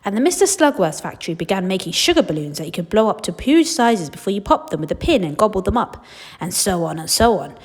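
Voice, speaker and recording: A woman voicing an old grandfather who tells a tale in a steady, confiding way, in a storybook reading. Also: mid-range voice, quick pace, slightly rough.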